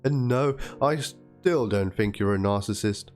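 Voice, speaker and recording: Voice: medium pitch, measured pace, darkish tone. Theatrical, aggrieved dramatic reader over music. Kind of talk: dramatic reading of messages and a document. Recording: music under the voice.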